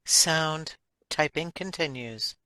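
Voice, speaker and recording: A woman's voice: deep voice, slow pace, bright, forceful tone, dry recording, rough recording. A woman reading out sound effect cues from a script in a plain announcing style. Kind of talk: flat read-out script cues.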